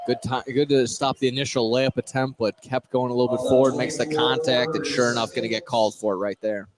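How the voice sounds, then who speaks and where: Mid-pitched man giving informal basketball analysis. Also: fairly even intonation, a little fast, a loud crowd. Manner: colloquial, conversational reactions. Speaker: color commentator.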